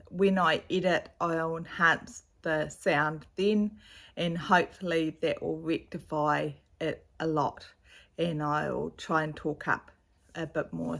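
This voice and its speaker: Middle-aged woman, slightly croaky, unhurried delivery, rambling chatty vlog talk, camera mic at home. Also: sits low, fairly bright tone. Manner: chatty, rambling, with fillers and asides. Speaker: cross-stitch vlogger. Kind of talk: casual chat showing stitching projects. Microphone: camera's built-in mic, no external microphone.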